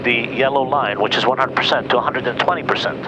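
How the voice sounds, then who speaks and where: Male pilot instructor, mid-pitched, clear matter-of-fact explanations, heard through a headset over heavy aircraft drone. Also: steady tone, quick pace. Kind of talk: cockpit instruction and radio calls. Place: inside a small turboprop cockpit in flight. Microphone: aviation headset. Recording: loud aircraft cabin noise. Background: loud turboprop engine drone.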